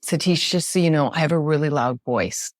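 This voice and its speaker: A woman with a naturally loud voice, explaining communication ideas as a guest in an emphatic, animated way, often checking in with "right?". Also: low voice, fairly quick, no echo, studio quality. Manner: emphatic, animated, frequent tag question "right?". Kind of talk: podcast interview, explaining with examples.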